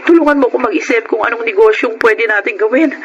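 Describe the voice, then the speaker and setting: A woman's voice: on the high side, fast, muffled recording, slight background noise, lightly acted. An actress playing an exasperated middle-aged mother in a Filipino radio drama, scolding and fretting with emphatic delivery. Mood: worried, grave. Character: exasperated middle-aged mother worrying over squandered lottery fortune.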